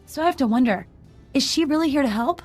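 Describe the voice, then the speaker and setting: A woman's voice: high voice, lively intonation, fast talker. An actress voicing a scrappy, street-smart woman outlaw in a video game, wary and defiant, talking in clipped colloquial phrases. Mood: wary, confiding. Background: music.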